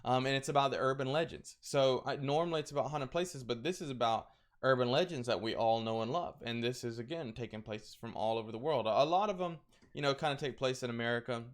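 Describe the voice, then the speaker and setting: A man talks in a loose, rambling way, full of "like" and false starts. His voice is crackly, yet it comes through smooth and clean. He sits at a middle pitch for a man. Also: quick pace. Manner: rambling, frequent fillers and restarts. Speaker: streamer recommending horror content. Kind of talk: casual recommendation chat.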